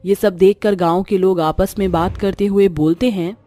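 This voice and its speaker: A woman's voice: medium pitch, steady tone, moderate pace, warm tone. Female storyteller, mid-range voice, scripted horror narration over a music bed.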